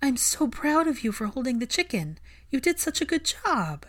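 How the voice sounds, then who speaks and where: A woman's voice: high voice, very animated, normal speed, fairly bright tone, pitched above the performer's own voice, heavily acted. A woman narrator voicing a fiercely loving, hurt young woman in an audiobook, speaking with emphatic feeling. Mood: tender, soothing. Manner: emphatic, impassioned.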